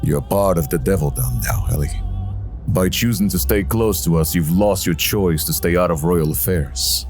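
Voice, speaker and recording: A man's voice: deep voice, moderate pace, a little mellow, soft background music, natural voice. A man playing a stern, realistic demon of royal rank in an audio drama, speaking in a sober, dry, formal manner.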